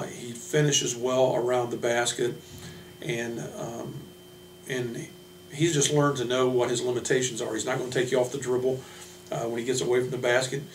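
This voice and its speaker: A man talks in a flat, even tone, like a college coach running through his roster without a script. His delivery is halting and plain-spoken. The recording is roomy and distant, with a noticeable hum. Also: medium pitch. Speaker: college basketball head coach. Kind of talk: unscripted team roster introduction.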